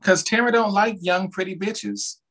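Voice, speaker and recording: A man's voice: high voice, moderate pace, fairly bright tone, rough recording. Blunt, sassy man in casual gossip. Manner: blunt, sassy, profane slang. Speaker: podcast co-host. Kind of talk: casual gossipy chat about reality television.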